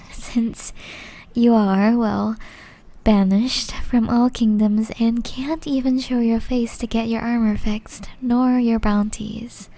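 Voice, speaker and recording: A woman playing a gentle, caring, centuries-old witch in an ASMR roleplay, speaking softly and breathily, often in a whisper, with tender teasing. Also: fairly high pitch. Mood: gently scolding. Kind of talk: ASMR roleplay, intimate scripted acting.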